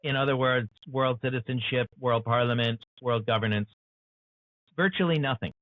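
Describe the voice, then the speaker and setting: A man's voice: mid-range voice, normal speed, no room sound. American man on a tinny call line. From United States. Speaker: author guest. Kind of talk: earnest interview discussion. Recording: dry, tinny phone-like call audio.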